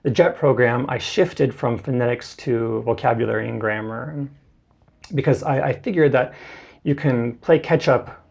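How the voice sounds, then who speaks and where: A young man explains things in a relaxed, rambling way, threading his points together with lots of filler words. His voice has a creaky edge and a slightly husky grain, at an ordinary middle pitch. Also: moderate pace. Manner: loose and explanatory, many "like" fillers. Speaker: interview guest, Japanese tutor. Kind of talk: casual conversational interview.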